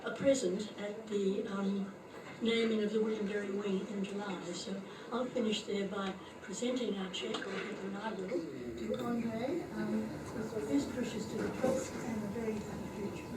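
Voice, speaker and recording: A soft-spoken woman from a charitable trust presenting a cheque at an evening function, halting with fillers. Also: mid-range voice, fairly even intonation. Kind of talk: formal presentation speech. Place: function room at an evening party. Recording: echoey room, far-off mic, background noise.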